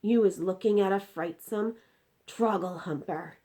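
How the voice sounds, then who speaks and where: A woman's voice: medium pitch, unhurried, mellow, close to an everyday voice. A woman voicing an indignant, distressed giant from a storybook, exclaiming in mangled grammar and drawing out words emphatically. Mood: emphatic explaining.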